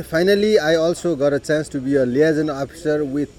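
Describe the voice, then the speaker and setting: A middle-aged man with a Nepali accent, telling his life story in an unhurried way, with frequent "you know" and throat clearing. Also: on the high side, a little mellow. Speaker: interviewee. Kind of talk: personal life story told in conversation.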